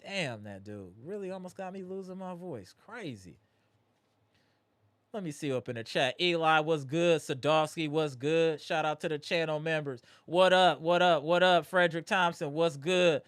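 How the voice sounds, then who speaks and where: A man hosting a live postgame basketball reaction stream, animated and greeting viewers in the chat, his voice strained from yelling. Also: on the high side, moderate pace, dry recording. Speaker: livestream host.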